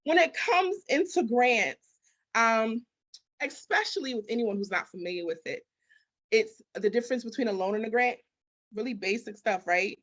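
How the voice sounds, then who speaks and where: A woman's voice: fairly high pitch, lively intonation, normal speed, a bit bright. Emphatic female coach being interviewed. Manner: emphatic, repetitive, rhetorical questions. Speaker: business architect and mindset coach, interview guest. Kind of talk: conversational motivational interview answers.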